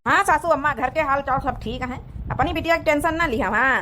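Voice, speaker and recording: Woman playing a high-voiced jaunty Awadhi husband. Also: a little fast, sharp tone, slight background noise. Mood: eager. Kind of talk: cartoon comedy dialogue.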